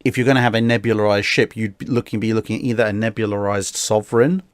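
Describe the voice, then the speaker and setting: A man talking casually as a guest commentator, giving opinions in a measured, analytical way. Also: fairly low pitch, steady tone, dry recording. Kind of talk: casual discussion.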